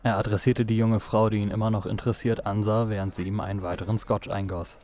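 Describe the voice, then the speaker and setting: A man's voice: on the low side, flat delivery, quick pace, darkish tone, slight background noise. A man reading scripted narration for an audio drama. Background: music.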